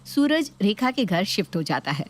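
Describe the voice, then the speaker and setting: Animated woman story narrator. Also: medium pitch, normal speed.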